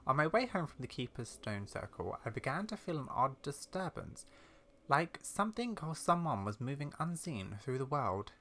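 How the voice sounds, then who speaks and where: An actor voicing a fantasy quest character who tells of secrets and magic in a measured, dramatic way. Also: mid-range voice, big pitch swings, a bit bright. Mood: uneasy, mysterious. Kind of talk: scripted video game dialogue. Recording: music behind the voice.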